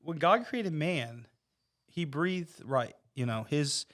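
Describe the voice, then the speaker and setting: A man's voice: on the high side, lively intonation, takes it slowly, a bit bright. Male podcast panelist, conversational and sincere, discussing faith and checking in with listeners. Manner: earnest, checks in with "you know what I mean". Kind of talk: casual religious discussion.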